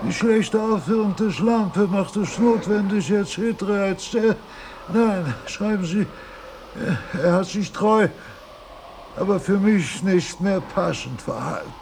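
An actor playing a frail old philosophy professor, pedantic, halting and querulous, in a radio drama. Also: male voice, sits high, vocal fry, a little mellow, a little hiss or hum, heavily acted. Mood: offended, resolute. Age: older.

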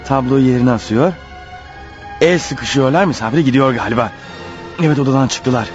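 A man's voice: medium pitch, moderate pace, lightly acted. An actor in a radio play voicing a desperate, nervous man turned amateur burglar, hesitantly talking to himself. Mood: resentful, scheming. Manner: hesitant, muttering to himself, rehearsing and exclaiming. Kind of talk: radio play monologue, thinking aloud. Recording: dull lo-fi radio drama over music.

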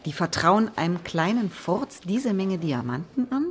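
An actress playing a frank, sceptical police colleague in a crime radio drama, probing with wry concern. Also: female voice, lowish voice, very animated, a little slow. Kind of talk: naturalistic German crime radio drama acting. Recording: faint background noise.

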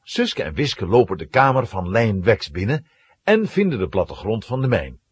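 Husky, very animated male storyteller. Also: lowish voice, normal speed, dry recording. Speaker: narrator. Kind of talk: dramatic storytelling, scripted narration for children.

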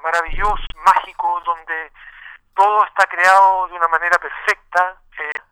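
A man's voice: sits high, fairly even intonation, normal speed, pushed and bright, clean tone, rough recording. A middle-aged man with a Chilean accent, a musician answering casually in a radio interview, often searching for his words. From Santiago, Chile.

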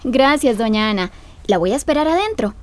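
An actress playing a curious, admiring young friend in a radio drama, with a Costa Rican accent and a gushing manner. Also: female voice, on the high side, sing-song, brisk. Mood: gentle, curious. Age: young adult.

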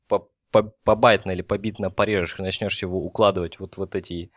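Low-pitched man explaining in dry sound. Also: steady tone, fast talker, no room sound. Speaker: podcast guest explaining telecom technology. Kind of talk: informal technical discussion.

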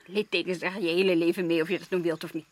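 An actress the playing a restless, brooding, sharp-tongued wife in a radio drama, speaking intensely and haltingly. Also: female voice, lowish voice, fairly even intonation, natural voice. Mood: accusing.

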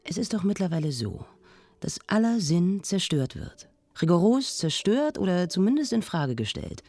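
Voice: medium pitch, very animated, normal speed, darkish tone, no echo. An actor playing a searching, anguished intellectual discussant in a radio drama, probing and emphatic. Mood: despairing.

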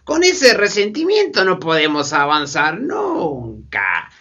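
A woman's voice: fairly low pitch, huge pitch swings, slow, a bit bright, slightly rough, muffled recording, exaggerated voice. A woman playing a defensive, skeptical character in a community radio play, objecting politely and hesitantly. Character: defensive skeptical man who wants history forgotten.

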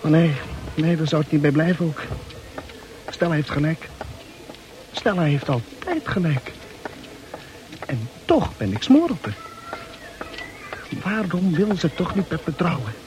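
A man's voice: fairly high pitch, animated, unhurried, long pauses, noisy background. An actor playing a nervous bachelor muttering his anxious thoughts as he walks through town in an old radio play. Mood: fretting. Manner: anxious inner monologue. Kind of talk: 1960s radio play acting.

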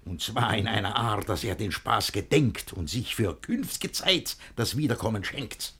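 Husky, blustering jealous gentleman. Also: on the low side, animated, measured pace, heavily acted. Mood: scheming, vengeful. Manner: declamatory, agitated, swinging between muttering and outbursts. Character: jealous, blustering, scheming middle-aged gentleman, easily panicked. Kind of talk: theatrical radio play acting in rhymed verse.

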